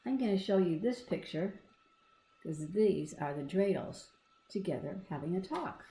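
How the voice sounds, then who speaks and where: Lady doing a kids read-aloud, expressive, chats to the little listeners, roomy echo. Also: fairly low pitch, measured pace. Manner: expressive, asking the listener questions. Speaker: storybook reader. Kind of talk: children's read-aloud with asides to young listeners. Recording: echoey room.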